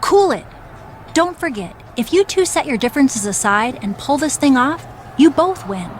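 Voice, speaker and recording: A woman's voice: sits high, big pitch swings, normal speed, slight background noise. A woman playing a bossy, seasoned wrestling legend in video game dialogue, firm, matter-of-fact and wry. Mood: commanding.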